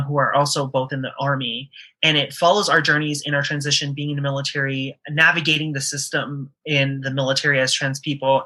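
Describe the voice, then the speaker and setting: Bright-voiced woman guest, earnest and halting. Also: sits low, poor audio. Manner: earnest, frequent um fillers and restarts. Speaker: podcast guest. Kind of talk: casual podcast interview.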